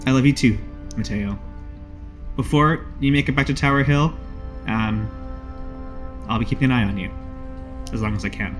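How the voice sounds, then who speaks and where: A man playing a gentle, hospitable brother in a tabletop game, soft-spoken and halting. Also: mid-range voice, soft background music, close to an everyday voice. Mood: tender.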